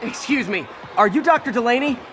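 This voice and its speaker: An actor playing a wisecracking young male superhero in a video game, lively and quick with jokes. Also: extremely high pitch, a loud crowd. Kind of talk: video game cutscene voice acting.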